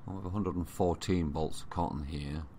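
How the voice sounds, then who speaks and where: A man with a Yorkshire accent giving casual, unhurried gaming commentary, thinking aloud to himself. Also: low voice, fairly even intonation, warm tone, a little hiss or hum. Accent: Yorkshire English. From Yorkshire, England. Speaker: gamer commentating own play. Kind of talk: casual let's play commentary. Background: strategy game ambience.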